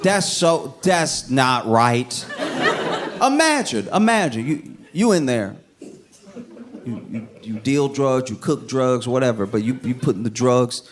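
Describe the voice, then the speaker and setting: A man doing a stand-up routine, telling a story with animated bursts and acting out scenes. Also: mid-range voice, takes it slowly, crowd noise. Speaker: stand-up comedian. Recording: live stage recording with audience noise. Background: audience laughter.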